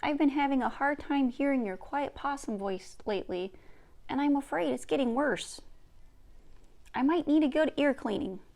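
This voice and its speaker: A woman's voice: high-pitched, moderate pace, darkish tone, pitched above the performer's own voice. A woman voicing a folksy, plain-spoken old grandmother who teases and muses, in a children's book read-aloud. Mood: mock apologetic. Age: older. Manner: folksy, drawling phrases.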